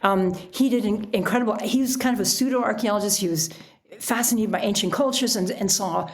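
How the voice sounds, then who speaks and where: American woman with a creaky voice. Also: mid-range voice, fairly quick. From United States. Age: middle-aged. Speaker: podcast guest, author and sailor. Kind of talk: conversational interview answers.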